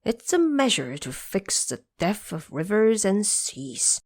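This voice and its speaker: An old, timid male dragon king of the sea in a storybook audio drama, voiced by a woman. He talks nervously, pleading and hedging, in a slow voice that rises and falls a lot. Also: mid-range voice, big pitch swings, darkish tone. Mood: grumbling.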